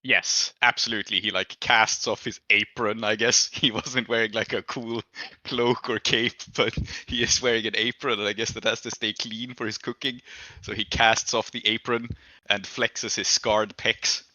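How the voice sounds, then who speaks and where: Low, bright-voiced man running a tabletop game. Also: flat delivery, moderate pace, no room sound, rough recording. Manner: chuckling, amused, often hedging as he improvises. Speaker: game master. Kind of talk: improvised tabletop game narration, casual chat.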